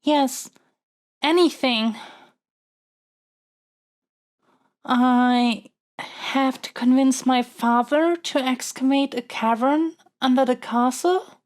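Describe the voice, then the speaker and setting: Woman acting a high-voiced, flustered lovestruck young princess. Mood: grateful, flustered, then overjoyed, flustered. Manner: flustered, stammering, halting, earnest. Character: lovestruck young princess smitten with her dragon kidnapper. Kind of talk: romantic fantasy audio roleplay monologue.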